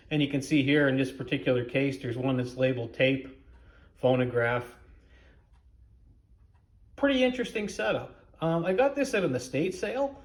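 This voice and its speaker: An American man giving a casual, unscripted product demonstration in a measured, explanatory way. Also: mid-range voice. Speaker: vintage radio collector presenting a video review.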